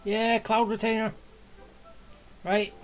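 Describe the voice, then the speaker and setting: A woman's voice: fairly high pitch, little pitch movement, slow pace. A woman casually reacting in short remarks as she plays through a video game story. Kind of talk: casual off-the-cuff commentary. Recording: loud game music behind the voice.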